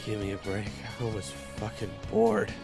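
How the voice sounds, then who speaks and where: A man's voice: on the low side, level tone, normal speed, music in the background, close to an everyday voice. A man playing a hot-headed, cocky young fighter in an audio roleplay, curt, sullen and grumbling. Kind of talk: audio roleplay drama acting.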